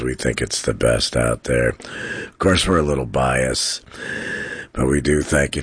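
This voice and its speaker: A man hosting a live call-in radio show from a studio, trading dad jokes and talking faith and prophecy in a relaxed, jokey way. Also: very deep voice, breathy edge, no echo. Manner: jokey, chuckles at his own dad jokes. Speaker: radio talk show host. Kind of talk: casual radio banter and sermon-like talk.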